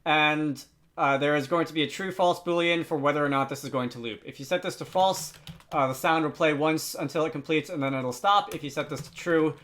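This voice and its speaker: A man narrating a software tutorial in a casual, informal way, pausing often as he explains. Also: a little high. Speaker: tutorial host.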